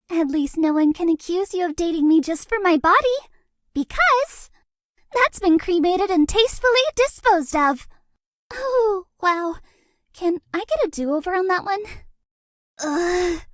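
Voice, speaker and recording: A very high, girlish voice as a cute young female ghost in a video game. She speaks slowly and hesitantly, with a bubbly, self-deprecating sweetness and an apologetic, awkward manner. The sound is close and dry. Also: expressive, dry recording. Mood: awkward, cheerful.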